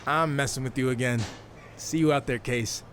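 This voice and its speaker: Man acting a self-assured fighter, medium pitch. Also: fairly even intonation, normal speed, a bit bright, a little hiss or hum, lightly acted. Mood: teasing, dry. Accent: American. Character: confident, wry male MMA fighter turned wrestling rookie. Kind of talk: game cutscene dialogue.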